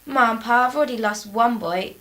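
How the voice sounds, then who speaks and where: Actress as young maid, high voice, polite, deferential, anxious servant, period radio drama. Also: female voice, fairly quick, a bit bright, lightly acted. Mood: worried. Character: deferential, worried young housemaid in a 1930s household.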